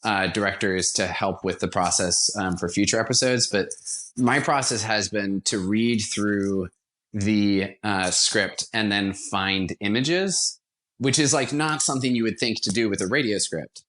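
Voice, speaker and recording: A man with a fairly low-pitched voice talks as a guest in a conversational interview. He explains his work as a director with evident enthusiasm. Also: measured pace, a bit bright. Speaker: podcast guest, radio theatre director.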